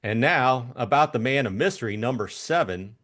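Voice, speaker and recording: A man voicing a light-hearted young gentleman adventurer in an audiobook, breezy and chummy with a jaunty manner. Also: medium pitch, animated, moderate pace, close to an everyday voice. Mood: teasing, speculative.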